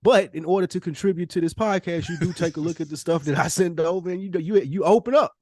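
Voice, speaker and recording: A man's voice: sits high, quick pace, darkish tone, no room sound, clean studio sound. A man co-hosting a podcast in casual, slangy debate, arguing his points emphatically and swearing freely. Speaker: podcast co-host.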